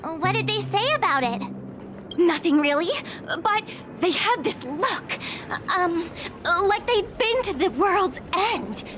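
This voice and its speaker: A woman voicing a small, young, excitable game companion, chatty and quick to be suspicious. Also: extremely high pitch, full character voice. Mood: wistful, impressed. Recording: game music underneath.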